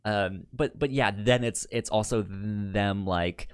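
A man's voice: lowish voice, takes it slowly, smooth, dry recording, studio quality. A man chatting casually as a podcast co-host, stammering and repeating sounds and words. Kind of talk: casual chat about television.

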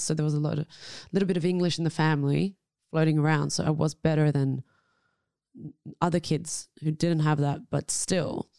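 A woman's voice: low voice, vocal fry, warm tone, no room sound, studio quality. A woman from Lebanon with a Lebanese Australian accent and Arabic as her first language, talking candidly and expressively as an interview guest, often breaking off and restarting. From Lebanon, moved to Australia at thirteen. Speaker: guest, actor.

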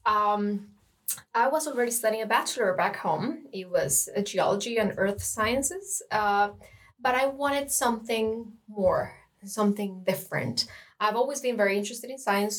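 A young woman from Rica, a student guest telling her story in an enthusiastic, chatty way. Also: mid-range voice. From Costa Rica. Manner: enthusiastic, laughs often, some fillers. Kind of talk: casual interview chat.

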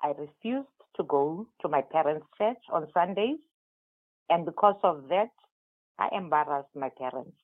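A woman from South Africa whose first language is Tswana, a guest author calling in to an interview and telling her life story in a measured, deliberate way. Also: deep voice, animated, dry recording. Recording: dry, tinny phone line.

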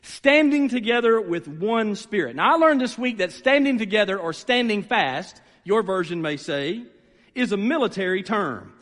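High-voiced preacher man in a hall. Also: expressive, normal speed, a bit bright. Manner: conversational, emphatic, repeats phrases, checks in with listeners. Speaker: pastor preaching to a church. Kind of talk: sermon. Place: large room, preaching to a gathered congregation. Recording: reverberant hall sound.

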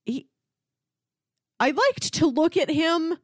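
A woman's voice: very high voice, lively intonation, slow pace, dry recording, studio quality. A woman co-hosting a casual film discussion in loose, conversational talk. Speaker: podcast co-host.

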